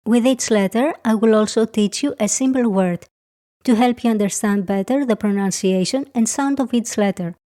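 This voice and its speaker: A woman who grew up speaking Greek, with a Greek accent, narrating a scripted beginner lesson in a slow, careful, clearly enunciated way. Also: a little high, dark tone. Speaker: language teacher.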